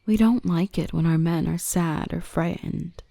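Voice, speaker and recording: A woman playing a gentle, nurturing, softly dominant dark elf in an intimate ASMR roleplay, speaking close up in a soothing, reassuring, often half-whispered voice. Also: lowish voice, a little slow, vocal fry, no room sound.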